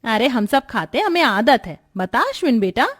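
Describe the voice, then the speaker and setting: A woman's voice: a little high, sing-song, normal speed, dry recording. A woman voicing a bossy, demanding middle-aged mother-in-law who commands and scolds in a cartoon story.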